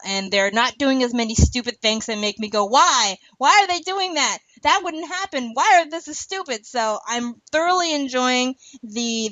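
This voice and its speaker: A woman's voice: a little high, a bit bright, dry recording, highs cut off. A woman hosting a podcast, chatting casually about a TV series in an informal, opinionated way. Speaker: podcast host.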